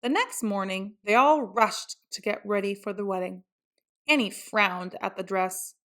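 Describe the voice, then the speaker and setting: A woman's voice: medium pitch, measured pace, fairly bright tone. A woman reading a children's picture book aloud as a storyteller. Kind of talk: scripted children's story narration.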